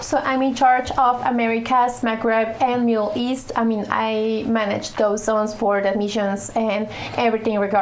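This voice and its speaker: A woman answering questions about her work as a school manager in a webinar. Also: on the high side. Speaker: business school international development manager.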